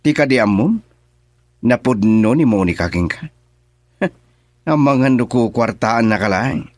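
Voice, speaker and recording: A man's voice: medium pitch, lively intonation, takes it slowly, no echo. A man acting a cold, taunting schemer in a radio drama, speaking in a menacing way.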